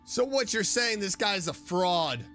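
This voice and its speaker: Female streamer, blunt sceptic, animated delivery at an easy, slow pace, faint game music behind. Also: mid-range voice. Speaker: streamer playing and reading along. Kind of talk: casual reactions to a game.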